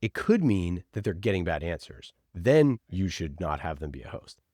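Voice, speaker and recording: A man's voice: sits low, sing-song, moderate pace, dark tone, dry recording, clean studio sound. A man talking casually as an interview guest and podcast producer, giving emphatic, opinionated advice and acting out imagined exchanges.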